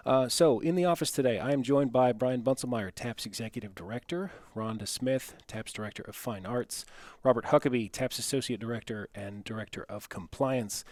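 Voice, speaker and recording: A man's voice: mid-range voice, darkish tone, no echo. A man hosting an online webinar, giving housekeeping announcements in an informal, matter-of-fact way. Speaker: webinar host and moderator.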